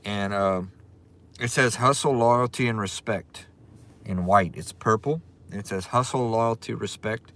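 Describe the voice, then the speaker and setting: A man with a strong Cajun accent, hosting a casual livestream, chatting in a relaxed way and greeting viewers by their comment names. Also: on the low side, a little slow. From Louisiana. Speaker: livestream host.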